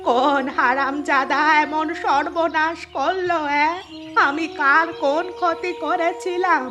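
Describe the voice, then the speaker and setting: Woman voicing a high-pitched wailing old village grandmother. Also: fairly even intonation. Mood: wailing, cursing. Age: older. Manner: lamenting, drawn-out wailing and scolding. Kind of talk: Bengali moral-story cartoon voice acting.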